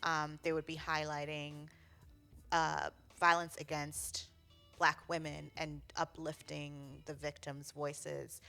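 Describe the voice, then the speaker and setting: A woman with a low but bright, forward voice co-hosts an arts talk show. She recaps an event in casual conversation, expressive and emphatic as she goes. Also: slow, vocal fry, soft background music. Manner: expressive, emphatic, repeating words for agreement. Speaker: co-host of a community arts show.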